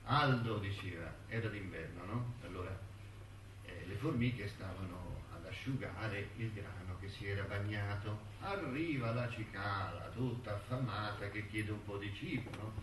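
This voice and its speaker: Un attore che fa un anziano intellettuale malinconico, lento. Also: male voice, on the low side, noisy background, close to an everyday voice. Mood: musing, weary. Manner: halting, musing, with rhetorical tags and repetitions. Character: elderly male intellectual and ant lover, wistful, bitter, reminiscing. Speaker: stage actor in a monologue. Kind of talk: theatrical monologue in a radio-broadcast play. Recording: echoey stage recording, distant mic, music.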